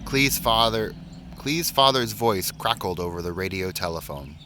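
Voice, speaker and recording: A man with a low voice reads a picture book for children. His delivery is measured and expressive, with pauses between phrases. Some background noise sits behind the reading. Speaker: story reader.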